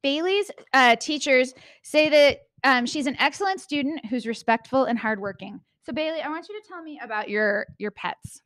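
A friendly woman with a slightly high voice presents school awards on a handheld mic. The sound is dry and close, from a small indoor studio. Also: expressive, normal speed, hardly any pauses, fairly bright tone, no echo. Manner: friendly, with fillers. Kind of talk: school announcements.

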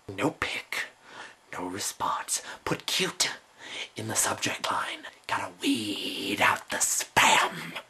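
A man playing an awkward, intense young man with a breathy, halting murmur in a comic dramatic reading. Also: fairly low pitch, lively intonation, sharp tone, whispered, pitched below the performer's own voice, exaggerated voice.

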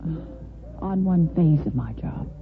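Guarded nurse, thin radio sound. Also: female voice, deep voice, animated, slow pace. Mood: hesitant, reserved. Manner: hesitant, guarded. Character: reserved, preoccupied female nursing superintendent. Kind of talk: vintage radio soap opera acting. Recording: lo-fi vintage radio, faint music.

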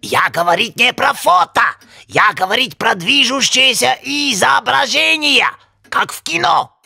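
A man voicing a sentimental, doting penguin inventor father in a cartoon, with a German accent, broken grammar and a husky, gravelly, emotional delivery. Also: extremely high pitch, expressive, unhurried, pushed and bright, no echo, studio quality, exaggerated voice. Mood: agitated. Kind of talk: animated cartoon dialogue.

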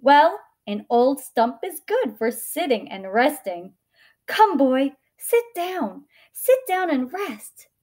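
A woman's voice: sits high, big pitch swings, takes it slowly, a little mellow, pitched above the performer's own voice, full character voice. A woman voicing a loving, generous female tree in a children's story, coaxing and eager to please. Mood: tender, welcoming. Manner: coaxing, giving.

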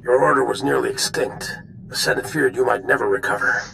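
An actor playing a grave, authoritative military general in a video game cutscene. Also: male voice, mid-range voice, a little fast, vocal fry, sharp tone, a little hiss or hum, rough recording. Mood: regretful, grave.

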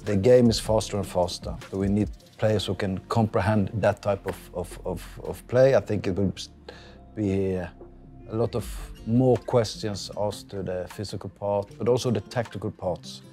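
A man in his forties from Norway with a Norwegian accent, a football coach speaking calmly in an interview, with frequent fillers and restarts. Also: fairly low pitch, normal speed, faint music behind. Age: 40s. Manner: calm, thoughtful, many fillers and restarts. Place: outdoor stadium stands.

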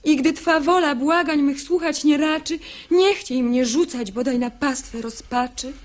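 An actress playing a desperate, imploring young daughter in a classic verse radio play, speaking theatrically. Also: female voice, high voice, measured pace. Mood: pleading, desperate. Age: young adult. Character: pleading, desperate young daughter facing forced marriage.

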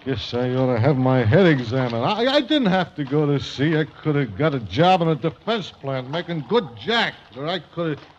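An actor playing a brash, bitter, boozy American sailor in an old radio drama, with rough slangy talk. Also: male voice, a little high, sing-song, normal speed. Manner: slangy, rough, loud-talking. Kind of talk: 1940s-50s radio drama acting. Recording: noisy muffled old radio recording, water sounds.

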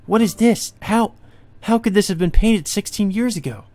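A young noblewoman from a visual novel, acted out by a man in a voice pushed up high. She is jealous and distraught, stammering and wailing her lines with exclamatory force. Music plays behind her. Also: normal speed, dark tone, lightly acted. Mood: shocked. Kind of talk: visual novel character read aloud by a player.

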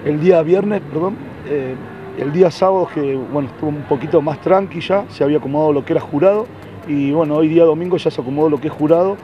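Argentine man in a noisy, dull recording. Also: fairly high pitch. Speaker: event organizer being interviewed. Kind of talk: casual interview. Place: outdoor yard. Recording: dull audio, clearly noisy surroundings. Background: outdoor ambient noise.

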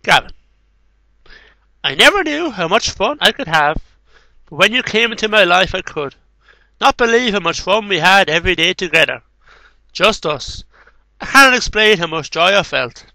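A man's voice: high-pitched, lively intonation, moderate pace, long pauses, bright, forceful tone, dry recording, poor audio. A man in his thirties reading poetry as an amateur, with casual asides, into a headset mic in a small room. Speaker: amateur poetry reader and video maker. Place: small cluttered bedroom. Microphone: headset microphone.

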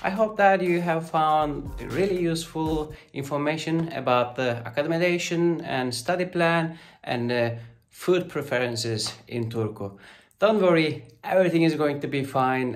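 A cheerful man in his thirties leads a student vlog tour, holding his phone at arm's length. His voice sits a little high with a gravelly edge. Clear background music plays under his talk. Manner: upbeat, non-native phrasing. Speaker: student ambassador. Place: indoors and outdoors on campus, selfie filming.

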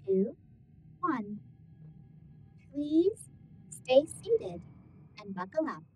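A woman voicing a polite, composed starship AI with formal, measured announcements in a sci-fi audio roleplay. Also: fairly high pitch, dark tone, pitched above the performer's own voice, full character voice, poor audio. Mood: procedural.

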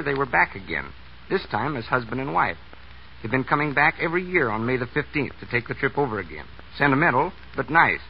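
An American man, a bus driver, speaking plainly and folksily as a guest in a scripted 1930s radio interview. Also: mid-range voice. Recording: dull, noisy 1930s radio recording.

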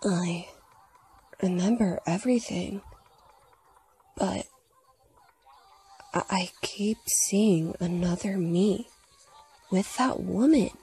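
A woman voicing a timid, confused young male experiment subject in a comic dub, hushed and faltering. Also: mid-range voice, slow, long pauses, poor audio. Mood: lost, uneasy.

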